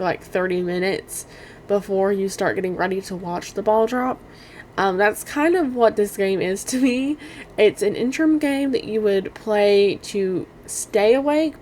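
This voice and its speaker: Hoarse young woman rambling through a review. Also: a little high, slight background noise. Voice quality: quiet, cannot get louder. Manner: rambling, many "like" fillers, apologetic. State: hoarse, strained voice after allergies. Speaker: game reviewer. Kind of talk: casual spoken game review.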